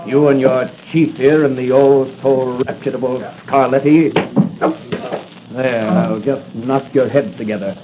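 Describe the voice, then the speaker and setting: An actor playing a cool, brisk masked crime-fighting hero in 1940s radio style. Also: male voice, mid-range voice, steady tone, lightly acted. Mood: fierce, triumphant. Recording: muffled noisy 1940 radio recording.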